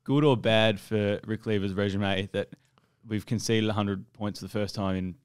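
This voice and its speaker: A man's voice: lowish voice, steady tone, normal speed, clean tone, dry recording. A young Australian man co-hosting a podcast with dry, joking asides. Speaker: podcast co-host. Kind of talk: casual podcast chat. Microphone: desk mic on boom arm.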